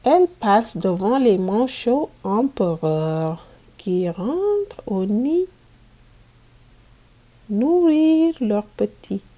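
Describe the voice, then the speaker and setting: A woman reading a picture book aloud to children as a teacher, in a deliberate manner made for learners. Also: on the high side, dark tone. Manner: deliberate, repeats sentences for learners.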